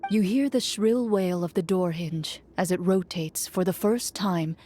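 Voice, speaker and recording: A woman's voice: lowish voice, unhurried, mellow, slight background noise, natural voice. A woman voicing a composed, solemn game master who narrates formally and dramatically in an anime video game, over music. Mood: solemn, narrating. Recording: music behind the voice.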